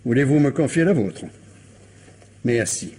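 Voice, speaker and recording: An actor playing a shrewd, insistent police commissioner who questions a suspect with courteous, insinuating pressure, in a French radio crime drama. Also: male voice, mid-range voice, lively intonation, a little slow, dark tone, slightly rough. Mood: grave, accusing.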